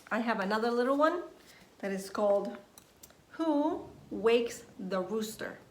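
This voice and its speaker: A woman nursery teacher reading a story aloud to young children in an expressive, deliberate way, prompting them with questions. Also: a little high. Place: indoors at home. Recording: roomy echo, faint background noise, home recording.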